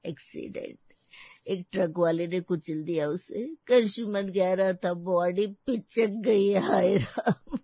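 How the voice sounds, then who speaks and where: A man's voice: high-pitched, slow, no echo, muffled recording, full character voice. A man playing a folksy, sentimental older village uncle in a cartoon story, dramatic and emotional in his delivery. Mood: grieving.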